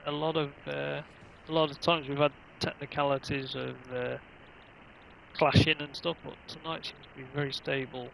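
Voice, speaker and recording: A man's voice: a little high, level tone, normal speed, creaky, fairly bright tone, a little hiss or hum, rough recording. A man commentating casually on an online racing livestream, rambling along in an easygoing, hesitant way.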